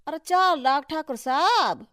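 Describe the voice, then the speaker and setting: A woman's voice: high-pitched, unhurried, dry recording, studio quality. A woman playing a deferential, fawning townsperson in a moral story. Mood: pleading, worried. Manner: flattering, pleading. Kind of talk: acted moral-story dialogue.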